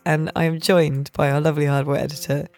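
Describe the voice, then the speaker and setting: A woman's voice: deep voice, flat delivery, fast, a little mellow, faint music behind. A British woman hosting a podcast in a casual, chatty way, with a jokey, teasing manner. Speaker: podcast host and games journalist.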